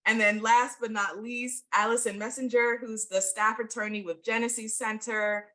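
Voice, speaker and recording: A woman's voice: on the high side, fairly even intonation, moderate pace, fairly bright tone. A woman hosting and moderating an online panel discussion, introducing the guests in an enthusiastic, casual way with frequent fillers. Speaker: host, moderator.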